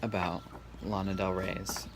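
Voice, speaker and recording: A man giving a casual tarot reading in a calm, serene, monotone way. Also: low voice, a little slow. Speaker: tarot reader. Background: faint background noise.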